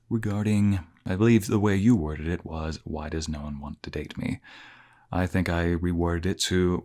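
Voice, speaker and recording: A man playing a soft-spoken deep-voiced therapist. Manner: soft-spoken, measured, reassuring. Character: calm polite male therapist, gently honest. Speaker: therapist character in a roleplay. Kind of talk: ASMR roleplay narration addressed to the listener.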